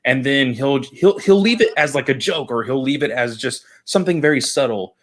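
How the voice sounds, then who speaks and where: A man talking eagerly in a relaxed podcast chat, explaining his takes and turning questions back to the group. His voice sits at a middle pitch with a casual, friendly flow. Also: moderate pace. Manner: enthusiastic, asks the others questions. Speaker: podcast co-host.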